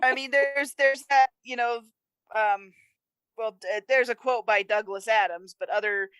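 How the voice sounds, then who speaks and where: A woman's voice: fairly high pitch, unhurried, fairly bright tone, smooth, dry recording, low-quality recording. A woman co-hosting a podcast, chatting casually about a film in an emphatic way with exasperated asides. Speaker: podcast co-host. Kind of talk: casual chat, film discussion.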